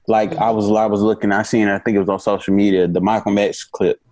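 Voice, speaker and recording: Low, mellow-voiced young man. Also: quick pace. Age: young adult. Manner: relaxed, filler-heavy storytelling. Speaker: podcast co-host. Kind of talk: casual chat.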